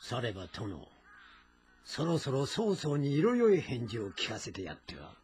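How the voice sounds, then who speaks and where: An elderly, shrewd court adviser from a historical drama. He is deferential to his lord. His voice is badly rasping, with wide movement in pitch. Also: wildly swooping pitch, a little slow, a little mellow, heavily acted. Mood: measured, advising. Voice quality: very raspy.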